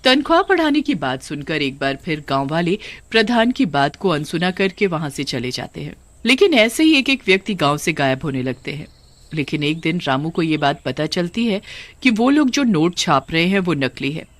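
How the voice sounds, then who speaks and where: A woman's voice: sits low, sing-song, normal speed, no echo. A woman narrating a scripted cartoon story as its storyteller.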